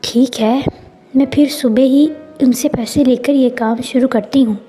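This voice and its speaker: A woman voicing a gentle, poor mother and wife in a story, with a husky voice. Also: sits high, steady tone, a little slow, faint music behind. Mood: agreeable.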